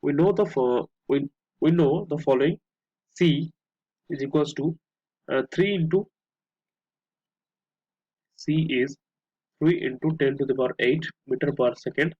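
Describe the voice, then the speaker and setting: A man with an Indian accent teaching as a tutor, working step by step through a problem in a halting way, repeating words. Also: on the high side, darkish tone, slightly rough. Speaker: physics tutor. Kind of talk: step-by-step worked-problem lesson. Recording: muffled lo-fi, echoey room sound.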